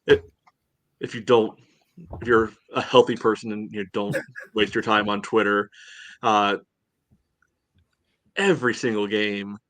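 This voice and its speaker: A man co-hosting a sports podcast, chatting casually in a halting way with lots of filler phrases. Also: on the low side, steady tone, a bit bright, no room sound. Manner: halting, peppered with "you know".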